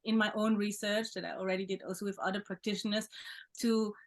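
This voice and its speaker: Woman host, mid-pitched and reflective, soft-spoken. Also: level tone, fairly quick. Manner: reflective, gentle, with occasional soft laughs. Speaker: podcast host, sexological bodyworker. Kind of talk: conversational interview.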